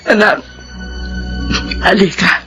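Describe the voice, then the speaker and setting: An actress playing a gentle, ailing and weary mother in a Filipino radio drama, emotional, with a Filipino accent. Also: takes it slowly, over music, natural voice. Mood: tender.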